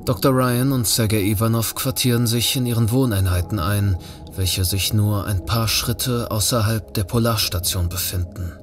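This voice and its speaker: A man's voice: on the low side, normal speed, music in the background. A man giving scripted narration for an audio drama thriller.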